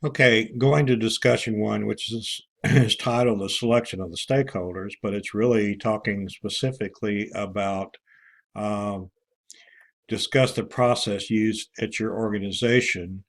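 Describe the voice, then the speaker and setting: A man with a fairly low voice speaks as a course instructor guiding students through an online walkthrough. His delivery is informal and halting, broken by frequent pauses as he works through the material step by step. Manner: halting, with many pauses and throat clearing.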